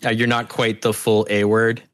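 A man talking casually as a podcast guest, telling anecdotes in an informal, halting way. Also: fairly low pitch, completely flat delivery, clear, resonant tone, no echo, studio quality. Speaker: podcast guest, audio drama creator. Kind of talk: casual conversational storytelling.

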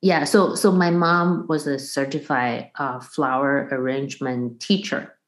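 A middle-aged woman from Taiwan talks as a guest in a conversational interview. Her voice sits low and she explains carefully, often restarting a phrase to add an example. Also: fairly even intonation, measured pace. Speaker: guest, percussion professor.

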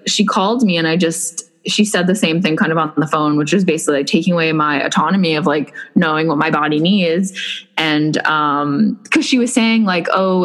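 A young woman in casual chat, telling a personal story in a rambling way with many fillers and restarts. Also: fairly low pitch. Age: young adult. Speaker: blogger recounting personal experience.

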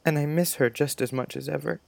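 A man's voice: on the high side, steady tone, fairly quick, creaky. A man playing a sensitive, dreamy little schoolboy in a storybook, speaking in an earnest, wistful, confiding way. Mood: grieving.

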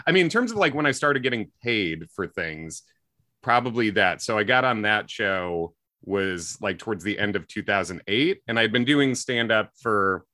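A man with a slight Chicago accent talks in a bright, forward voice that sits a little low in pitch. He rambles in a casual interview, piling up "likes" and restarts. The sound is dry and close. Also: animated, normal speed, dry recording. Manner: rambling, self-correcting, frequent likes and ums. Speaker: comedian guest.

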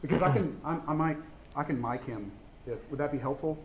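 A man speaking quickly and apologetically from across a church, away from the microphone. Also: on the high side. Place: church sanctuary. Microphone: off-mic, from across the room. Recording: quiet, distant voice across a room.

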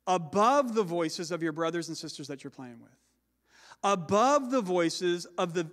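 A man, a father, preaching a sermon in a loud, carrying voice, slowing down to stress key words. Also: sits high, big-room sound. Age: adult with children. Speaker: pastor.

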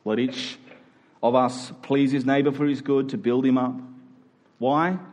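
A man's voice: mid-range voice, level tone, measured pace, a little mellow, muffled recording. A man with an Australian accent preaching as a pastor, earnest and conversational, often posing questions to his listeners. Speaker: church pastor. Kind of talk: sermon.

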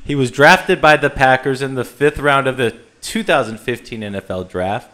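A man's voice: mid-range voice, normal speed, sharp tone. A man hosting a podcast, upbeat and playful, reading out a guest introduction. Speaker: podcast host.

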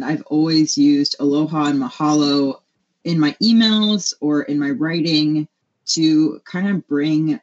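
A woman from Hawaii with an American accent, answering interview questions in a conversational, reflective way. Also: low voice. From Hawaii, USA. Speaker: interview guest, photographer. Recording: dull, lo-fi remote-sounding audio.

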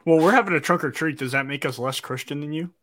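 A man with a bright, ringing voice that sits a little higher than most, co-hosting a casual live talk show. He teases his guests in easy banter, and the sound is close and dry with no room around it. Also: fast talker, no echo. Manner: jokey, teasing. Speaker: podcast co-host.

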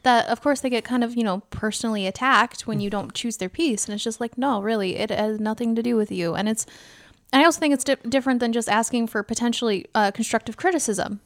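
Woman, American accent, fairly high voice, dry recording, casual, explanatory, informal. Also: fast, dry recording. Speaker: theatre company team member, podcast co-host. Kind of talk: casual conversational podcast talk.

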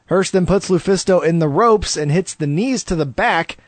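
A man's voice: sits high, normal speed, dry recording. A man co-hosting a podcast, giving a play-by-play recap of a wrestling match in casual chat. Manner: play-by-play recapping, laughs easily. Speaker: podcast co-host.

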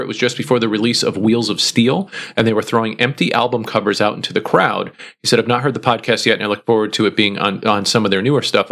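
A man co-hosting a podcast, reading listener comments aloud in a relaxed way with many pauses. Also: lowish voice.

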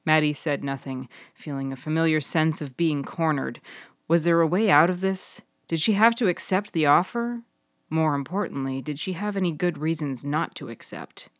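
A woman narrates a serial story in a low register. The sound is dry and close, and she reads in a scripted audiobook style. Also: normal speed, dry recording. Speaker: author and narrator.

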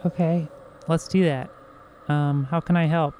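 Higher-pitched man in casual role-play. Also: steady tone, measured pace, darkish tone, a little hiss or hum. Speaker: tabletop game player.

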